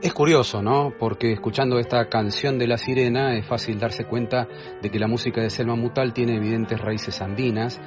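A man co-hosting a radio program about film music, explaining in a warm way. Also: medium pitch, fairly even intonation, moderate pace. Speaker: co-host, film music critic. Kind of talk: radio chat. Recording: dull audio with music.

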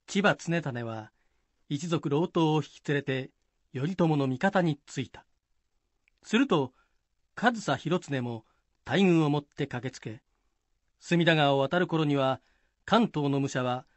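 Brief: male narrator for a scripted historical tale, slightly high voice, dry close recording. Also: animated, measured pace, no echo.

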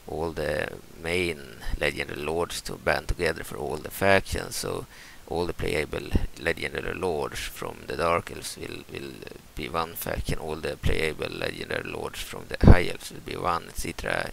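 Gravelly low Swedish-accented man. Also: animated, moderate pace, hardly any pauses, fairly bright tone, slight background noise, low-quality recording. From Sweden. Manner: hesitant, many fillers and restarts. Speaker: gaming channel host. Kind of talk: casual gameplay mod walkthrough.

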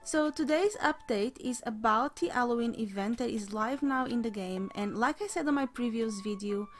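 A woman hosting a game video in casual commentary, slightly hoarse as she is losing her voice, excitable about what she finds. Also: on the high side, normal speed. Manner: excitable, gasps at new sights. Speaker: gaming channel host.

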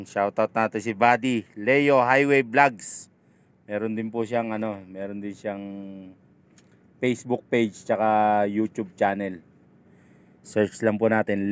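A man's voice: on the low side, clear, resonant tone. A middle-aged man, a truck driver vlogger, chatting casually on a handheld phone camera inside a truck cab. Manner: easygoing, laughs often, gives shoutouts. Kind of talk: casual vlog chat.

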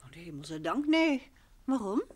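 Lively, spirited wife. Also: female voice, medium pitch, unhurried. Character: spirited, devoted wife of an investigator. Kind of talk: old Dutch radio drama acting. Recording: faintly noisy old radio recording.

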